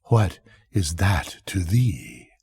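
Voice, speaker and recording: An older man voicing Avarice, a miserly, possessive, defiant female spirit, in slow, emphatic archaic speech for a bedtime story. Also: low-pitched, very animated, creaky, darkish tone, breathy edge, no room sound, clean studio sound, pitched below the performer's own voice, heavily acted. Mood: guarded.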